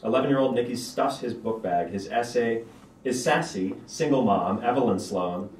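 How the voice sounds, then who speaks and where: A man's voice: medium pitch, animated, normal speed, a little mellow. A man reading screenplay stage directions aloud as narrator at a table read. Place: stage of a small auditorium. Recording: roomy auditorium, distant mic, background noise.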